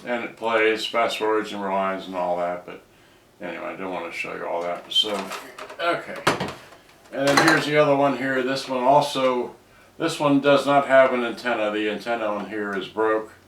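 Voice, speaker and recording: Rambling low-voiced man in a roomy, distant recording. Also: normal speed, creaky. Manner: rambling, trailing off mid-sentence. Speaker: cassette player restorer presenting his own items. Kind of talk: casual hands-on product demonstration. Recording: roomy, distant microphone with background noise.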